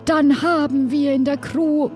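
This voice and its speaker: A woman playing an excitable, flustered female pirate crew member in an improvised audio play, talking theatrically with halting exclamations. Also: high voice. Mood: excited, chatty. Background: background music.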